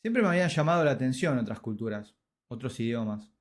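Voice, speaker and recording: A man in his thirties with an Argentine accent, hosting a podcast and telling his life story in a reflective, scripted way with dramatic pauses. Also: mid-range voice, expressive. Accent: Argentine Spanish. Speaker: podcast host. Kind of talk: scripted personal narration.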